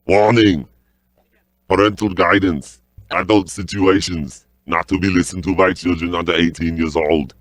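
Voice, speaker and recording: A man's voice: low-pitched, steady tone, moderate pace, no room sound, pitched below the performer's own voice, close to an everyday voice. A man putting on a stern, solemn announcer voice for an audiobook content warning.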